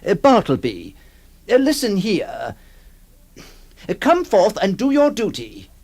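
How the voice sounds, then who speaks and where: A man's voice: high voice, huge pitch swings, slow pace, darkish tone, heavily acted. An actor playing a flustered, hesitant lawyer who stammers, also narrating his story in a radio drama. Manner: stammering, exasperated, theatrical.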